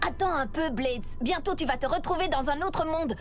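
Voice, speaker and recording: A woman's voice: high voice, moderate pace, lightly acted. A woman voicing an eager, confident young boy in a French cartoon dub, chirpy and reassuring as he explains things. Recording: loud music behind.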